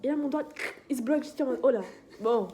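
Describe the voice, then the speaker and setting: A woman's voice: high-pitched, fast talker, crowd noise. A young woman vlogger, a client and fellow hairdresser, chatting friendly and giving short replies. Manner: friendly, many short backchannels. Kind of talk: casual chat and voice-over.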